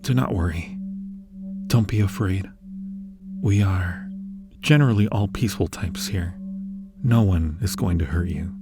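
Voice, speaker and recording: A man plays a friendly, curious male being from a strange glass universe in an ASMR roleplay. The voice sits fairly low and moves very slowly. The delivery is gentle and reassuring, with thoughtful, hesitant musing. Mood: calm, reassuring. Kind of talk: ASMR roleplay addressed to the listener.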